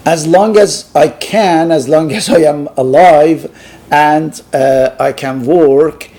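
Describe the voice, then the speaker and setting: A middle-aged man with a Persian accent, giving a halting, unscripted lecture with many pauses. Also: fairly high pitch, mellow.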